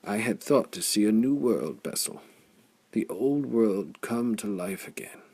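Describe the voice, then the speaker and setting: A man voicing a weary, sorrowful old warrior, speaking in a gravelly, husky, heavy-hearted way. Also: fairly low pitch, lively intonation, unhurried, a little mellow, heavily acted. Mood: grieving.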